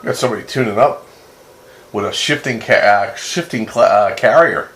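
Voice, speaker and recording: A man in casual on-air radio chat, speaking haltingly and repeating phrases, heard over a two-way radio. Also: lowish voice. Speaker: amateur radio net operator. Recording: noisy radio transmission with static.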